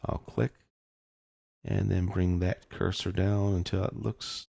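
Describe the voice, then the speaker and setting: A man talks through a software lesson in a very low voice. There is plenty of fry in it, and the sound is dry and close. He explains each step informally, as an instructor would. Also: flat delivery, slow, dry recording. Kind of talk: step-by-step screencast lesson, casual.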